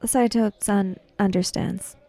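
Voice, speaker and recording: A woman playing a polite, deferential samurai interpreter in a tabletop game, speaking formally and haltingly. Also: mid-range voice, steady tone, mellow, dry recording, close to an everyday voice. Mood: polite, careful.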